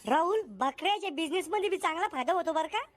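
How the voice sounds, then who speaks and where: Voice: extremely high pitch, expressive, fast talker. A voice actor playing a cheeky, boastful village schoolboy.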